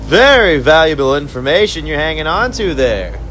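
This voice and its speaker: Um homem fazendo um vilão sádico e zombeteiro. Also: male voice, fairly high pitch, very animated, fairly bright tone, soft background music, voice pushed up. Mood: taunting. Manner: taunting, drawn-out mocking delivery. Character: sadistic male villain interrogator, mocking and gloating.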